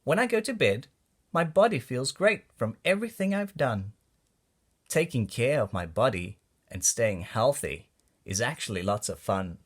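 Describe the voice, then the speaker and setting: A man reading a rhyming children's storybook aloud in an expressive, deliberate way for young listeners. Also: medium pitch, slightly rough. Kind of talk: read-aloud storybook narration of rhyming verse.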